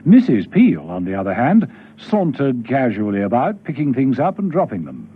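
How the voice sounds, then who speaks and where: A man's voice: mid-range voice, wildly swooping pitch, normal speed, breathy edge. A man narrating a radio spy drama in scripted storytelling style. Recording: thin phone-like old radio recording.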